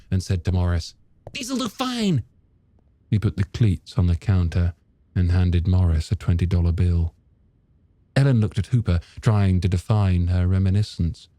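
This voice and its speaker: A man's voice: low voice, mellow, dry recording. A man narrating a novel as an audiobook reader, in scripted storytelling style.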